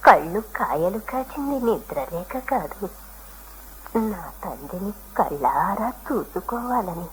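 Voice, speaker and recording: An actress playing a devout, tender elderly hermit woman in a radio drama, speaking with reverent, drawn-out emotion. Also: lowish voice, very animated. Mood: devout yearning. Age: older. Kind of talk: Indian radio drama acting. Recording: noisy old radio drama recording.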